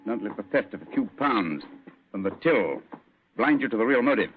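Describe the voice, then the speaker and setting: An actor playing an assured English detective in a radio drama, clipped, articulate and authoritative. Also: male voice, lowish voice, lively intonation, normal speed, close to an everyday voice. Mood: assured, explaining. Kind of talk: old-time radio drama acting. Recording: tinny, noisy old radio recording.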